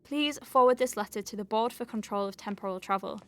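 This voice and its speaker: A woman playing a conscientious, wry and compassionate doctor dictating patient notes in an audio drama, measured and articulate with conversational asides. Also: medium pitch, a bit bright, close to an everyday voice. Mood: lighter, wry. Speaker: doctor at a clinic.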